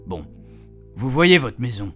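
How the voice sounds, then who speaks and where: A man acting as an older retired husband in a radio play, proud and talkative. He rambles in colloquial, confiding asides to his audience, at an ordinary male pitch, with music underneath. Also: very animated, slow, bright, forceful tone, exaggerated voice. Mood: confiding, rambling. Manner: colloquial, digressive, addressing the reader directly. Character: chatty, proud retired husband besotted with his camper van. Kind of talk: radio fiction monologue, rambling and conversational.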